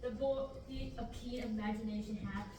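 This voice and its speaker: Stern farmer uncle, read by a young woman, measured verse, far-off echoey classroom with hiss. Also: a little high, steady tone, natural voice. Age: young adult. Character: stern middle-aged male farmer uncle. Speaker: student actor at a table reading. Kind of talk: reading Shakespearean verse aloud. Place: large classroom, indoors. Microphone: far camera.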